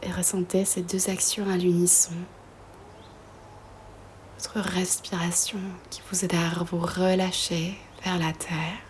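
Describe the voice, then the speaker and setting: A woman guiding a yoga nidra meditation in a soft, breathy, half-whispered voice, soothing and gentle, with long pauses between phrases. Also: lowish voice, little pitch movement, a little hiss or hum.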